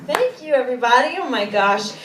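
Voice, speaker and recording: A woman's voice: high voice, animated, brisk, far from the mic, background noise. A woman cabaret singer giving casual, chatty, giggly stage banter between songs, often interrupting herself. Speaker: cabaret singer introducing a song. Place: small cabaret stage before an audience. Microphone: distant audience camera. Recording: echoey live hall recorded from afar.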